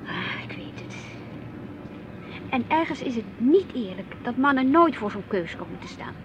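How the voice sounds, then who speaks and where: An actress playing a middle-aged housewife in a radio drama, teasing and gently assertive, questioning and mildly protesting. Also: female voice, fairly high pitch, very animated, normal speed. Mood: insistent. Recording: dull lo-fi radio play, faint noise.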